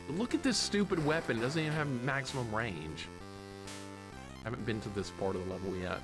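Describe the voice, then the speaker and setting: Grumbling American man streaming over loud game music. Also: medium pitch, lively intonation, a little fast. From southern United States. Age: 40s. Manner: jokingly exasperated, grumbling, sweary. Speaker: live streamer playing a video game. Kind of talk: casual game commentary and chat with viewers. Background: retro video game music.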